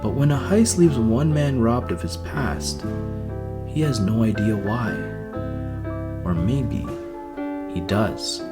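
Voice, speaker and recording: A man's voice: on the low side, very animated, slow, pauses often. A man reading a scripted introduction and promo as the announcer of a short story podcast. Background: prominent guitar music.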